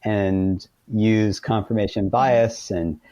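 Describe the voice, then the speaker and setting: Man, deep relaxed voice, interview guest, casual explanatory talk, informal, dry close recording. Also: slow, no room sound.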